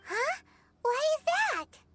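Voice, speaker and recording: A tiny floating game companion with a very high, squeaky child voice. The creature is perky and cheeky, swooping in pitch over clearly audible music. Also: female voice, very animated, slow pace, fairly bright tone, exaggerated voice. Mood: curious. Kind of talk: video game character voice acting.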